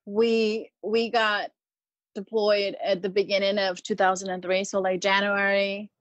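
A woman's voice: medium pitch, level tone, unhurried, dry recording, dull audio. A woman in her thirties from Peru, a Marine veteran guest talking casually with lots of hesitant ums and restarts. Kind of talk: casual interview conversation.

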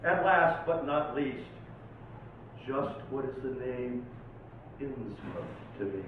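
A man's voice: medium pitch, lively intonation, slow. A man who is a poet, performing at a live reading in a theatrical, dramatic manner. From Oregon, United States. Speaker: poet reading own poems. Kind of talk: live poetry reading with informal introductions. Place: live poetry night venue, recorded from a distance. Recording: tinny, very echoey, distant, faint noise.

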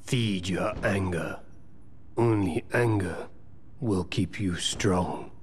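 A man's voice: low voice, slow pace, slight background noise. A man playing a cloaked, manipulative game villain who speaks in a menacing, insinuating way. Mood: cold, goading. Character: sinister cloaked male villain, coldly manipulative.

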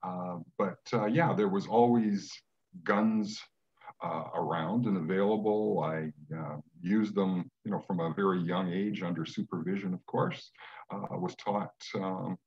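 A man in his sixties from Western Canada, speaking in a measured way with many fillers on a video call from home. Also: low-pitched, fairly even intonation, a little mellow. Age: 60s. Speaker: guest. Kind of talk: casual video call conversation. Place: home room. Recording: dull lo-fi webcam audio.

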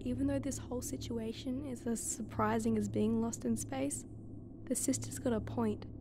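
A woman's voice: a little high, fairly even intonation, moderate pace, noisy background, natural voice. A woman playing a frank, level-headed crew member in a sci-fi audio drama, speaking informally and directly.

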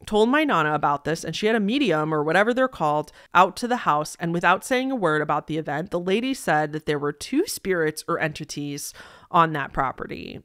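A young woman speaks in a slightly low voice, close to the microphone in a dry-sounding room. She has the casual, expressive delivery of an online host reading stories aloud and chatting about them. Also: dry recording. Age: young adult. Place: small decorated indoor room. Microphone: close desk microphone.

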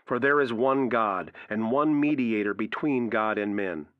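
A man playing an earnest radio preacher in an audio drama, quoting scripture emphatically and deliberately. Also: lowish voice, clean tone, no echo, narrow, tinny audio, lightly acted. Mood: earnest, assuring.

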